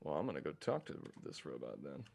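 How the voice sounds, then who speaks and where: A man's voice: rumbling low, huge pitch swings, quick pace, gravelly, darkish tone, faint music behind. Chatty man playing a game with friends. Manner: chatty, laughs often. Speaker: game playthrough host. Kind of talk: casual group game chat.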